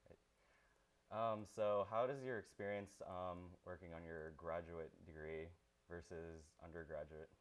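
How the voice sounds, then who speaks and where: Young man with a smooth, low voice. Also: fairly even intonation, measured pace. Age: young adult. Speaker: student presenter. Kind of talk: scripted on-camera presenting. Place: small TV studio set.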